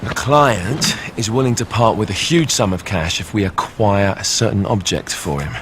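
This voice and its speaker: A man's voice: on the low side, normal speed, noisy background, lightly acted. An actor playing a cocky, persuasive male thief with a British accent in a video game cutscene. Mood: sly, teasing. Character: smooth-talking British male thief pitching a heist. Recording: music behind the dialogue. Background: cinematic music.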